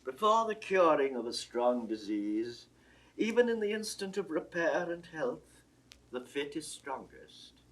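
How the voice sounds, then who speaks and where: An actor playing a shrewd, worldly cardinal in a classical Shakespearean audio drama, speaking in a measured, oratorical way. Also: male voice, a little high, sing-song, a bit bright, heavily acted, low-quality recording. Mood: shrewd counsel. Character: shrewd, worldly churchman, a cardinal coolly counselling.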